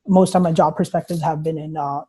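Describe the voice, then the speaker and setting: A young man who grew up in Punjab, answering interview questions on a video call in a hesitant, halting way with many uhs and restarts. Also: on the high side, steady tone, mellow, breathy edge. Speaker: guest poet. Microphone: computer mic on video call.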